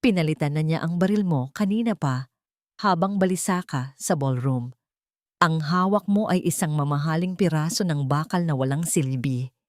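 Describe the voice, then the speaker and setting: A woman narrator plays a wronged wife bent on revenge in a dramatic storybook. She is bitter, defiant and coldly triumphant, taunting in a hard, fairly low voice with very little room sound. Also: moderate pace, a little mellow, dry recording, clean studio sound, natural voice. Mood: mocking triumph.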